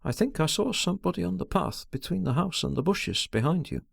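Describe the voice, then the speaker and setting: A man reading a novel aloud, voicing a reserved, sceptical gentleman who murmurs softly. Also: high voice, animated, brisk, vocal fry, breathy edge, no room sound, clean studio sound, pitched above the performer's own voice, exaggerated voice. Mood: hushed. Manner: murmuring, hushed. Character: reserved, sceptical middle-aged man, quietly soothing.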